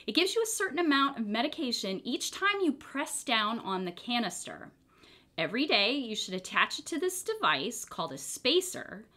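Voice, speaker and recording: A woman's voice: mid-range voice, fairly bright tone. A woman voicing a nice, fanged female pharmacist in a children's storybook, friendly and patiently instructive.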